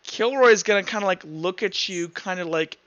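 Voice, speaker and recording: A man's voice: high voice, normal speed, a bit bright, dull audio. A man acting as game master, casually improvising narration for a tabletop roleplaying game.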